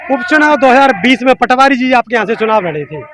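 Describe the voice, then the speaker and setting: A man's voice: very high voice, animated, quick pace, noisy background. A man doing informal political street interviews, pressing people with probing questions. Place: outdoor public ground among a crowd. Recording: loud crowd around an outdoor microphone.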